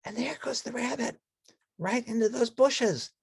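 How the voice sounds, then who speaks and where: A woman voicing a sweet, eager little girl puppet in a children's storybook, speaking softly. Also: fairly high pitch, unhurried, vocal fry, breathy edge, no echo, clean studio sound, voice pushed up, exaggerated voice. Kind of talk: children's storybook read-along character voice.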